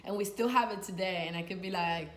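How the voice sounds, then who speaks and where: A woman's voice: medium pitch, fast, fairly bright tone. A Dominican woman from New York, a life coach, gives conversational, earnest advice to camera with informal phrasing and emphasis on key words. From Dominican, grew up in New York. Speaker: sex and life coach, video host. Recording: echoey room with faint noise.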